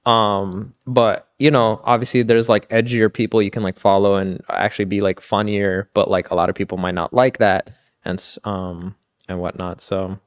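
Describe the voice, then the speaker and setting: A man's voice: fairly low pitch, level tone, normal speed, no echo, studio quality. A young man as a podcast guest, chatting casually with lots of "dude" and "like" and frequent laughs. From Pakistan. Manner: laid-back, says "dude" and "like" a lot, laughs often. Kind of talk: casual chat.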